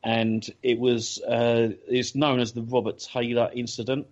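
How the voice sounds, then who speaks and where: A man's voice: medium pitch, level tone, unhurried, a little mellow. A man hosting a casual radio chat show, talking with many ums and restarts. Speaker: podcast host. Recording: dull lo-fi audio.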